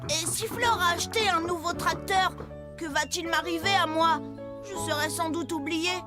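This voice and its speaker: A woman's voice: very high-pitched, steady tone, normal speed. A woman voicing an eager, anxious young farm tractor in a children's cartoon, earnest and pleading. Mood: worried. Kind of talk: French children's cartoon dub. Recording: music behind the voice.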